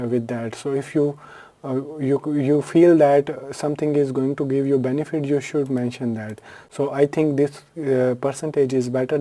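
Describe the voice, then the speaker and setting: A man's voice: mid-range voice, mellow. A man from Pakistan whose first language is Urdu, talking through a screen-share tutorial in a loose, unscripted way with frequent fillers and self-corrections. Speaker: tutorial host.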